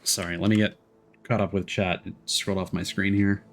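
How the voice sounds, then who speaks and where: A man doing casual, chatty live game commentary, quick to react out loud. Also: low-pitched, little pitch movement, slight background noise. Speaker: game livestreamer, host.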